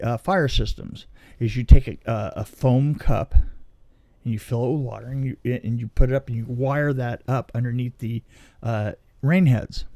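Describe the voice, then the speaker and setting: A man telling a story casually on a podcast, in a halting, rambling way that often restarts mid-sentence. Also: mid-range voice, mellow, dry recording. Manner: halting, rambling, self-interrupting delivery. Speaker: film special effects technician telling a story.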